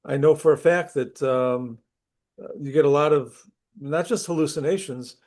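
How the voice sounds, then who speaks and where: A middle-aged man, a university instructor, opening an online class session while losing his voice from a cold, talking conversationally and clearing his throat. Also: fairly high pitch, moderate pace, a little mellow. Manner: conversational, clears throat often, restarts sentences. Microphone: computer mic on a video call.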